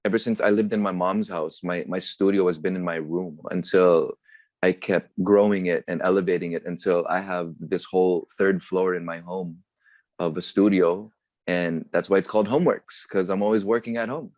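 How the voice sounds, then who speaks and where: A young man with a low, deep voice and an American accent chats easily over a video call, dropping bits of Tagalog into his English. The sound is dry and close, with little room echo. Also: normal speed. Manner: relaxed, mixes Tagalog phrases into English. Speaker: guest performer and entrepreneur. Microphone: video call webcam.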